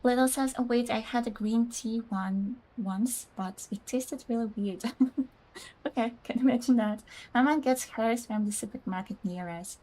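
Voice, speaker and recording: A woman's voice: on the high side, moderate pace, warm tone, low-quality recording. A young woman whose first language is Russian, chatting casually while streaming a game, rambling with many "like"s, reading out chat messages and laughing often.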